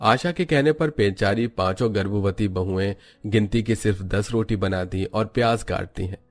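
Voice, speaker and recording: A man's voice: on the low side, moderate pace, faint music behind. A man narrating a scripted cartoon story as its storyteller.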